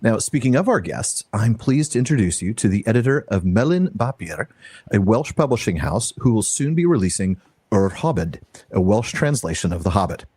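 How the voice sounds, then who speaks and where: A man's voice: fairly low pitch, very animated, moderate pace, a little mellow, breathy edge, dry recording. A man hosting a podcast, chatting casually, introducing guests and laughing often. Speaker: podcast host.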